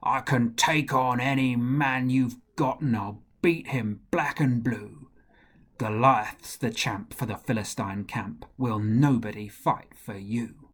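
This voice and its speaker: A proud giant warrior, as a man puts him on for a rhyming children's story. He taunts and boasts with loud, slow swagger. The voice is husky. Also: mid-range voice. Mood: boastful.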